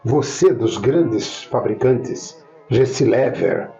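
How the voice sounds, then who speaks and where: Male interviewer, Brazilian accent, creaky voice with a cold, echoes guest; lo-fi sound. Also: mid-range voice, expressive, slow pace, dark tone. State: has a cold. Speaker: interviewer, host. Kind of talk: conversational interviewing. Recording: dull lo-fi sound, faint music.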